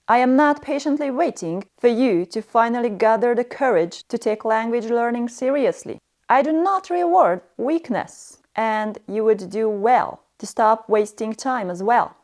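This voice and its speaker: A woman's voice: a little high, unhurried, hardly any pauses, darkish tone. A woman narrating a scripted language lesson as a stern, harsh and demanding teacher.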